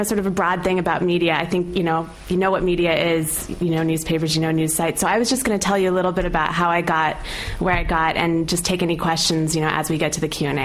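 A woman's voice: on the low side, a little hiss or hum, rough recording. An American woman from California in her thirties, talking casually and candidly on a panel about her career, with frequent "you know" and light laughs. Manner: chatty and candid, laughs often, many fillers. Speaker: panel speaker, opinions editor. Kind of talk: informal panel talk.

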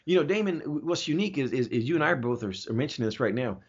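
A man with a rough, husky voice at a medium pitch talks as a show host. He explains his views with emphasis and often asks rhetorical questions. The audio is dull and a little lo-fi. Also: lively intonation, very fast. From Germany. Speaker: talk show host answering listener questions. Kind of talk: opinionated conversational commentary.

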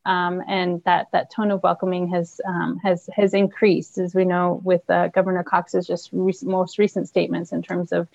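Hesitant woman with a low gravelly voice. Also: flat delivery, normal speed, hardly any pauses, darkish tone, no echo, muffled recording. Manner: hesitant, many ums and restarts. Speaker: refugee resettlement staff member. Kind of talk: news interview.

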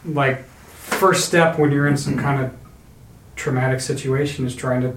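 A man's voice: medium pitch, steady tone, unhurried. A man co-hosting a podcast in casual chat, blunt and swearing freely, with restarts as he goes. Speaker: podcast co-host. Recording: roomy with faint noise.

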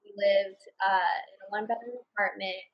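Woman, 20s, podcast guest, chatty and upbeat, slightly husky. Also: medium pitch, fairly even intonation, brisk, muffled recording. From Canada. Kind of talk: casual interview chat.

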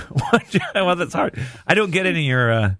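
A man's voice: high voice, animated, a little fast, sharp tone. A man hosting a radio show with casual, playful, teasing banter.

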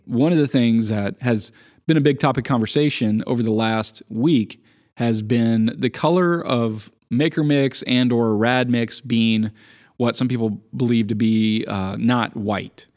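Raspy-voiced man explaining concrete mixes. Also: medium pitch, unhurried, a little mellow. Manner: talkative, explains at length with everyday comparisons. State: sick with a cough. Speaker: podcast co-host. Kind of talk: casual chat.

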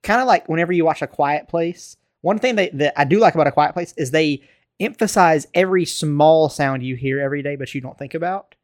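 Higher-voiced American man telling casual stories. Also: brisk, dry recording, studio quality. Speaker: podcast co-host. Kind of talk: casual chat, storytelling.

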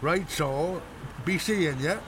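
An actor playing a wheedling, thankful old Irish barfly in a radio drama. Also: male voice, fairly high pitch, slow, background noise. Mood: grateful, merry. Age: older.

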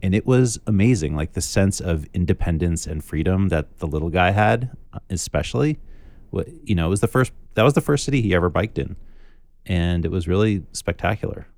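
Low-voiced relaxed podcast co-host man. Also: fairly quick, no echo. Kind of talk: casual chat.

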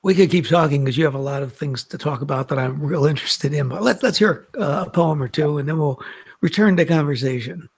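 A man's voice: a little high, brisk, gravelly, breathy edge. A man hosting an interview, stammering and repeating words as he asks questions. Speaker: interviewer, host.

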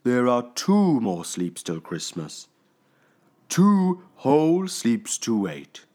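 A sleepy daddy grizzly bear from a children's storybook, put on by a man. He speaks slowly and wearily, stretching his words with patient emphasis and big rises and falls. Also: mid-range voice, very animated, warm tone, voice pushed up. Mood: patient, explaining. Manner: mumbling, yawning, drawn-out emphasis.

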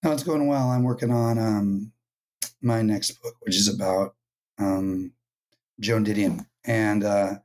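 A man from California, hoarse from losing his voice, talking thoughtfully as a writer guest in a casual podcast interview. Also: lowish voice, fairly even intonation, a little slow, darkish tone. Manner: thoughtful, many ums and restarts. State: hoarse, lost voice.

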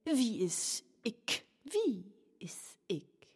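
Slow chanting witch, playful storybook type, swoopy voice w long echoey reverb. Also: fairly high pitch, very animated, husky, full character voice. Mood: curious, probing. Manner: chanting, repeating lines in slow rhyme. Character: younger-than-expected witch of a sweets house, cheerful, gentle. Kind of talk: theatrical family radio play acting.

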